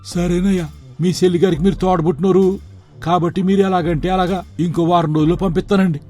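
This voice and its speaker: A man playing a humble, high-voiced tenant farmer. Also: steady tone, fast, a little mellow, faint music behind, close to an everyday voice. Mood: deferential. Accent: Telugu rural dialect. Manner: respectful, submissive.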